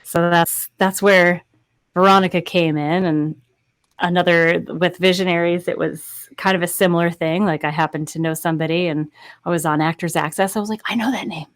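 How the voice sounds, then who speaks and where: Female panel guest, thirties, lowish voice, dry sound, informal storyteller. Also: steady tone, normal speed, dry recording. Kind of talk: casual anecdotal panel talk. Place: indoor home recording space, video call.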